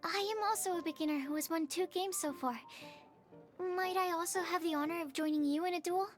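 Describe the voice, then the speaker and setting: Game role: deferential young novice, extremely high register, eager and courteous. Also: female voice, brisk, faint music behind. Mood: polite, eager. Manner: deferential, tentative. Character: polite, eager young card-game beginner. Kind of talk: video game character dialogue.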